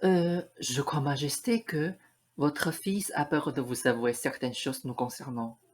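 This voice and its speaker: A woman's voice: very deep voice, unhurried, a little hiss or hum. A woman playing an eccentric, pleading lady who insists she is a prince's love, in a student radio play. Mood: coy, insistent.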